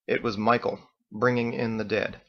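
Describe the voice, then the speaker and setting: A man's voice: on the low side, level tone, normal speed, fairly bright tone, dull audio. A man reading his own story as a scripted audiobook narration.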